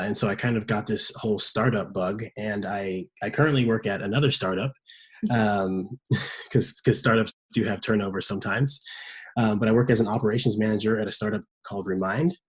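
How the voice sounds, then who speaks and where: Relaxed lower-voiced man. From Seattle. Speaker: guest, startup operations manager. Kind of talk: podcast interview.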